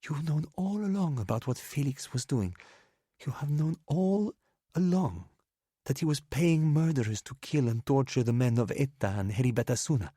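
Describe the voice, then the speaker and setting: A man voicing a drunk, bitter woman, hurling accusations at her lover in rushed, accusing speech. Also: medium pitch, animated, warm tone, slightly rough, dry recording, studio quality, pitched above the performer's own voice. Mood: furious. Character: drunk, furious Spanish woman, betrayed lover.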